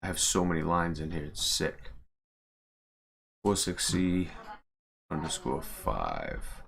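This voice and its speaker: A man with a very low voice talks casually through his screen-recording work, half muttering to himself. His speech has a gravelly fry, and there is clear noise in the background. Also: big pitch swings, slow pace, fairly bright tone. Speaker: mod creator. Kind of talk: casual screen-recording walkthrough, thinking aloud. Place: indoors at a computer.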